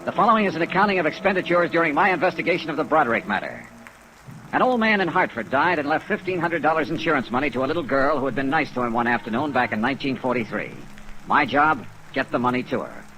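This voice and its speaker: A man's voice: a little high, quick pace, poor audio. A man acting a tough, wry, clipped insurance investigator in a 1950s radio detective drama. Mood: wry, dramatic narration. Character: brisk, tough, steady male insurance investigator. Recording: vintage radio recording, steady rain noise.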